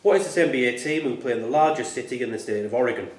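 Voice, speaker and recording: A male quizmaster reads quiz questions aloud in a club room. His voice is mid-pitched and carries a clear room echo. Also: expressive, rapid-fire.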